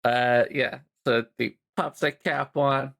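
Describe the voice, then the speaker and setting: A player in a tabletop game who talks slowly in a laid-back, giggly way, with a middle-pitched voice. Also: steady tone, gravelly, fairly bright tone. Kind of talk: casual tabletop roleplay.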